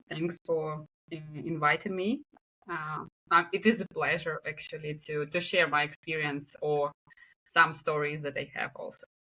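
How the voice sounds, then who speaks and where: A woman's voice: low-pitched, pushed and bright, rough recording. A woman from Ukraine with a Ukrainian accent, speaking English as a guest on a remote interview, hesitant with many filler sounds. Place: home in Kyiv, remote call.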